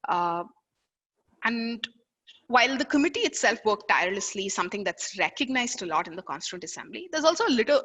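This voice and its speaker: A woman's voice: medium pitch, bright, forceful tone, low-quality recording. A woman from India, a native Tamil speaker with an Indian accent, introducing a discussion as its moderator.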